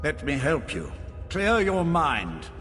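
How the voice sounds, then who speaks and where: With long reverb and music underneath, a calm male mage. He is gentle and soothing, speaking very slowly with a wide melodic swing. It is dramatic fantasy game acting. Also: a little high, heavily acted. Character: calm, compassionate male mage offering help.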